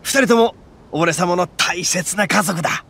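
An actor playing a brash, boastful horned oni gang boss who talks in a rough, swaggering way. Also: male voice, sits high, measured pace, fairly bright tone, a little hiss or hum, heavily acted. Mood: fond. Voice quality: rough, swaggering, calls himself grandly. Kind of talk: Japanese game voice acting. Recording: game audio with faint music underneath.

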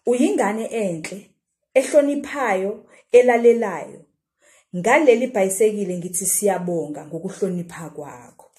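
A woman with a South African Zulu accent voicing a kindly, teasing father who gently instructs his young son in a children's story. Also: mid-range voice, animated, unhurried, lightly acted. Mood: proud, fond.

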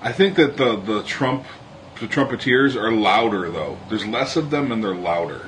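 A man's voice: lowish voice, lively intonation, moderate pace, a bit bright, slight background noise. A man co-hosting a casual political podcast, making wry jokes as he talks. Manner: wry, joking asides. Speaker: podcast co-host.

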